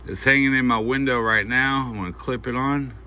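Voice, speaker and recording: A man giving a casual, unscripted product demonstration, talking in a halting, folksy way as he thinks aloud. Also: medium pitch, fairly even intonation, bright, forceful tone. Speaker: host of a gear review channel. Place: indoors at home at night. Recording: faint background noise.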